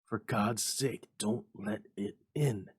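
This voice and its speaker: A man's voice: on the low side, takes it slowly, slightly rough, exaggerated voice. A man voicing a frightened old husband, shaky, faltering and pleading, in a dramatic story reading. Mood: fearful, pleading.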